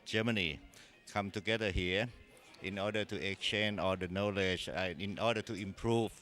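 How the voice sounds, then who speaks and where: A man being interviewed at a conference, answering slowly and deliberately with frequent hesitations. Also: on the low side, steady tone, fairly bright tone, faint music behind. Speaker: interviewee, former company president.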